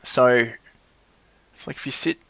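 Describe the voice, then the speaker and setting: A man coaching his clients, earnest and checking in often, with lively jumps in pitch and frequent restarts. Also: a little slow, no room sound. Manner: earnest, keeps checking in with "right?". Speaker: life coach.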